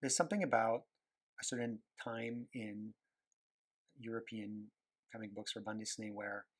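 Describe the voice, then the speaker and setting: A man's voice: fairly low pitch, fairly even intonation, moderate pace. A man with a Quebec French accent, a native French speaker, chatting casually and searching for words as he talks while drawing. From Quebec, Canada. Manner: rambling, searching for words, many fillers. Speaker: animator and illustrator.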